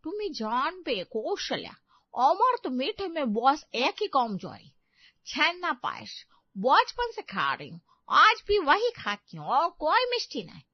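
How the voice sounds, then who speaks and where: A woman voicing a fussy, gushing older auntie in an audio story, with a Bengali accent, drawn-out emphatic words and broken Hindi. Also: high-pitched, big pitch swings, no echo, dull audio, heavily acted. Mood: fond, emphatic.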